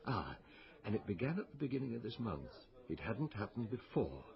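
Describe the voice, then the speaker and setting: An actor playing a sardonic older doctor in a radio drama, musing and theatrical in manner. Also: male voice, on the low side, big pitch swings, brisk, vocal fry, highs cut off. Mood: musing, intent. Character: wry, sardonic old male doctor detective. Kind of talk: naturalistic radio drama acting.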